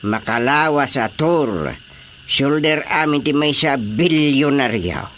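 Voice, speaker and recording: A man's voice: mid-range voice, expressive, slow pace, a bit bright, a little hiss or hum. An actor with an Ilocano accent playing a chatty, teasing elderly village chairman in a radio drama comedy. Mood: informative.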